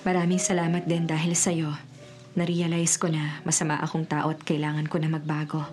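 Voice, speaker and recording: An actress as a low-voiced reformed female swindler. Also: completely flat delivery, brisk, darkish tone, faint music behind, close to an everyday voice. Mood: earnest, relieved. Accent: Filipino. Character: repentant female con artist starting over honestly. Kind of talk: Filipino radio drama acting.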